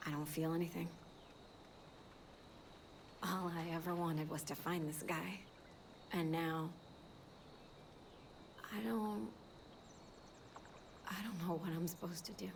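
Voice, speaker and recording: A hardened young woman fighter in a video game drama. She speaks slowly and haltingly in a slightly low, subdued voice, blunt and worn out, over faint background noise. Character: weary, hardened young female resistance fighter.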